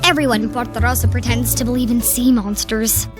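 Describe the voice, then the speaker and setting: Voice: mid-range voice, fairly even intonation, a little fast, over music, natural voice. An actor playing a feisty, bold young girl in a storybook read-along. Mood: confiding.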